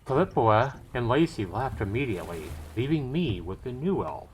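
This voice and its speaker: A man's voice: mid-range voice, sing-song, normal speed, darkish tone, a little hiss or hum. A man hosting a livestream, reading a visual novel aloud and commenting casually. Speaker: livestream host.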